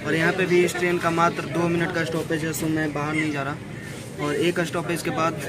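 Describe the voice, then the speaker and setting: A man's voice: on the high side, flat delivery, a little fast, a loud crowd. A young man vlogging a train journey in a chatty, explaining way, talking into a phone at arm's length on the train and the platforms. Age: young adult. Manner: chatty, explaining, repeats fillers. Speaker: travel vlogger. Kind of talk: casual travel vlog narration. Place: on a moving train and station platforms. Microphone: phone held at arm's length, wireless earbuds. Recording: loud train and platform noise.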